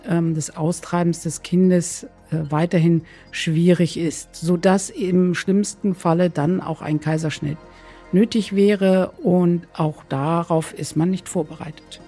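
A woman doctor giving measured, explanatory interview answers as a medical expert. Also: fairly low pitch, steady tone, mellow, soft background music.

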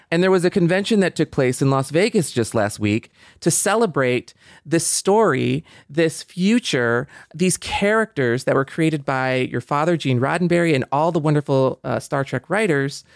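A man's voice: on the high side, dry recording. Enthusiastic man, dry close sound. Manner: enthusiastic, many fillers like "like" and "you know". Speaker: podcast host. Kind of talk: casual conversation.

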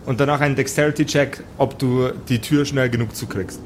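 A man improvising narration as game master of a tabletop role-playing game, halting now and then to search for words. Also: mid-range voice, steady tone, a little hiss or hum.

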